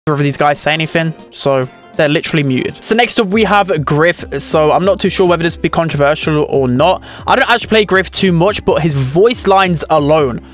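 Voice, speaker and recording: A man hosts a gaming ranking video in a fairly high voice. He is chatty and opinionated, talking casually, with music playing underneath. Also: brisk.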